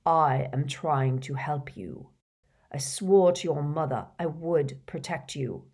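A cold, menacing male schoolmaster from a storybook, put on by a woman reader. He speaks low and quietly, slow and clipped, every word controlled. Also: lively intonation, breathy edge, heavily acted.

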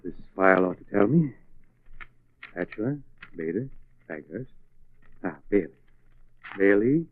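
An actor playing a sly, evasive, aging undertaker, unctuous and hesitant, in a 1940s radio drama. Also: male voice, fairly low pitch, no room sound. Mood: musing. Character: sly, evasive, aging small-town undertaker turning blackmailer. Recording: muffled 1940s radio recording, phone-like band.

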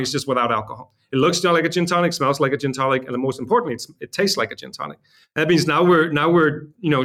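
A man's voice: fairly high pitch, fairly bright tone. A man from Denmark speaking as an interview guest and company founder, explaining things in an informal, conversational way.

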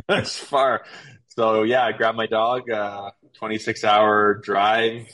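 A man's voice: lowish voice, flat delivery, slow pace, fairly bright tone. A man in his thirties, a podcast guest telling his story in a casual, rambling way with lots of fillers and restarts. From Canada.

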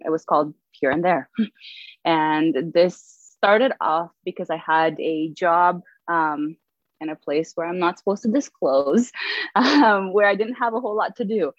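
Low-voiced young woman, dull lo-fi audio. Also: no room sound. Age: 20s. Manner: relaxed, with frequent fillers and light laughs. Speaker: author and podcast guest. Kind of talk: casual storytelling.

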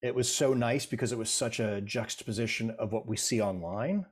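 A man's voice: mid-range voice, fairly quick, breathy edge. A middle-aged man, a guest in an interview, telling stories in a rambling, self-deprecating way with many restarts. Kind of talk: casual interview chat.

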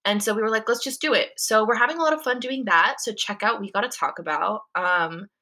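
A woman's voice: mid-range voice, fairly quick, sharp tone. A young woman talking as a podcast guest in a casual interview, chatty and full of "like" and "um" fillers.

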